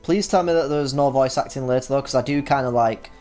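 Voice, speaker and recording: A young man doing let's-play commentary and reading game text aloud, energetic and joking with sudden exclamations. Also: medium pitch, fast talker, soft background music. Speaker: gaming YouTuber.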